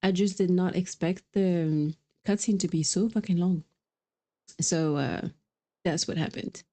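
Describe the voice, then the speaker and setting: A woman's voice: on the low side, unhurried, warm tone. A woman streamer giving casual, playful, informal live commentary on a game.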